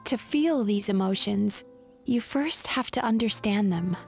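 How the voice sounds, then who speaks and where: A woman playing a gentle, composed dream-eating spirit in a video game, polite and thoughtful in a measured way. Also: medium pitch, vocal fry, faint music behind. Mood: wistful, explaining. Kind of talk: video game character acting.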